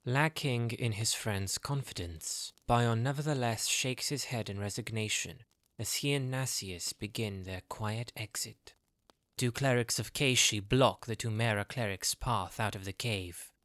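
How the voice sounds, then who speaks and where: A man's voice: fairly low pitch, a little slow, fairly bright tone, no room sound. Dry-recorded man narrating fantasy.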